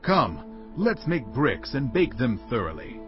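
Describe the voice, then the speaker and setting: A bold man of the ancient world in a scripture drama, rousing and declamatory. His medium-pitched voice swings widely, over prominent music on a dull recording. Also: very animated, takes it slowly. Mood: eager, rousing. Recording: dull audio with loud music behind.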